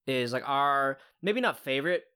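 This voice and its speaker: A woman's voice: extremely low pitch, expressive, normal speed, fairly bright tone, smooth. A young woman co-hosting a podcast in casual chat, rambling through stories in a laid-back way. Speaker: podcast co-host.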